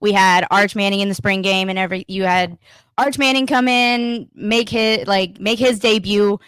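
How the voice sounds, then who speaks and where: A woman hosting a casual sports talk show, upbeat and excitable. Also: mid-range voice, smooth, no echo, low-quality recording. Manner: upbeat, hyped, quick to laugh. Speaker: podcast co-host.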